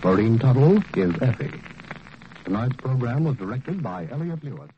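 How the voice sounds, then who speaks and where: A man's voice: medium pitch, sing-song, normal speed. A man reading scripted credits as a 1940s radio announcer. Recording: dull old radio recording, faint noise.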